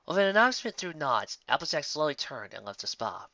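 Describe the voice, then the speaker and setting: A man narrates a written story aloud. His voice is bright and very animated in pitch. The recording is close and dry but slightly dull. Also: fast, no echo. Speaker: story reader. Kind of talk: scripted narration of fan fiction.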